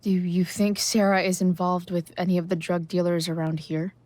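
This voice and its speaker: A woman's voice: on the low side, level tone, normal speed, darkish tone, lightly acted. An actress playing a concerned, questioning teenage girl in a video game drama, speaking naturally in a tentative, probing way. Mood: hesitant, concerned.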